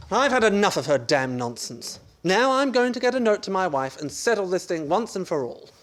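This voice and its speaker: A man's voice: sits high, big pitch swings, measured pace, noisy background. A man acting an exasperated, scheming husband in a radio play farce, with a blustering, theatrical delivery. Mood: indignant, blustering.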